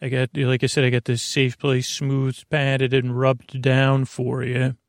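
A man hosting a bedtime podcast in a gravelly voice, rambling haltingly, interrupting himself and drifting off on tangents. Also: mid-range voice, monotone, dry recording, studio quality. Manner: meandering, halting, self-interrupting, tangent-prone. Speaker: podcast host.

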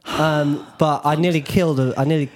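A man's voice: fairly high pitch, steady tone, moderate pace. A young British man hosting a podcast in a casual, teasing, playful way. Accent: British English. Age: young adult. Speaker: podcast host.

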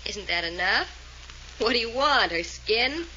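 Bright-voiced, sardonic young wisecracker, old radio. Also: female voice, fairly high pitch, lively intonation, a little slow, breathy edge, heavily acted. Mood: wry. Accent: American. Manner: dry, sardonic. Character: cynical, wisecracking young woman, bored and tough. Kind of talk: 1940s radio serial acting. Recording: noisy, muffled vintage radio recording.

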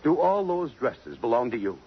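An actor playing a tough, brooding police detective in 1950s American radio drama, curt and hard-boiled. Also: male voice, mid-range voice, big pitch swings, moderate pace. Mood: brisk, probing. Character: tough, brooding police detective lieutenant smitten by a murder victim. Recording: muffled, noisy 1950s radio recording.

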